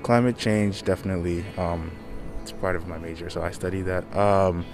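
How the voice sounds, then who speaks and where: Man, young adult, low pitch, flat delivery, casual interview, clear music underneath. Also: normal speed. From Massachusetts, United States. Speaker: student being interviewed.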